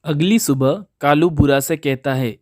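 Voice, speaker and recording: A man's voice: on the high side, measured pace, a little mellow. A man narrating a scripted children's moral story. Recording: music under the voice. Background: background music.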